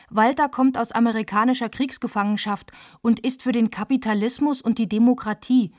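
A girl witness in a postwar radio play, played by a woman. She has a lightly high voice, recorded dry, and tells things in plain, short sentences. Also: dry recording, close to an everyday voice. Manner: plain short sentences, quoting shouted insults. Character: girl witnessing a heated postwar family quarrel. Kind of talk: literary radio play narration.

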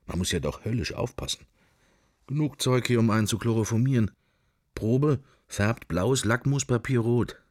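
A man's voice: mid-range voice, normal speed, darkish tone, no room sound, clean studio sound, lightly acted. An actor playing a middle-aged man musing wryly to himself in clipped, wandering thoughts in a literary radio play. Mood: sardonic musing.

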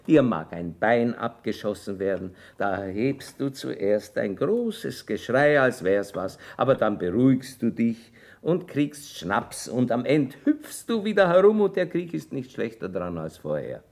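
An actor playing a boastful, cynical army chaplain in a radio drama, pompous and declamatory. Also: male voice, medium pitch, very animated, a little slow, vocal fry, darkish tone, full character voice. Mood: cynical, expansive. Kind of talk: stage-style German radio drama acting.

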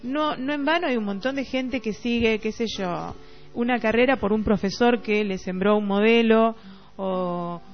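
A woman's voice: fairly high pitch, normal speed. A middle-aged woman with a Rioplatense Argentine accent, talking reflectively and casually as a radio host, often searching for words. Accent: Rioplatense Argentine Spanish. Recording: dull lo-fi radio recording, music behind. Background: music.

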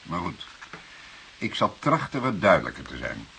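An actor playing a senior police detective in a Dutch radio drama, deliberate, formal and dryly sardonic, with unhurried authority. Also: male voice, low-pitched, wildly swooping pitch, vocal fry, bright, forceful tone, exaggerated voice. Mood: stern, sardonic. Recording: hissy old radio play recording.

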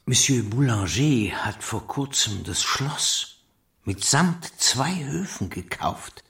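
A knowing small-town gossip in a radio drama. His voice is gravelly and swings widely in pitch. He takes his time over every detail, speaking slowly and deliberately. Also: sing-song, fairly bright tone, heavily acted. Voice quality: creaky.

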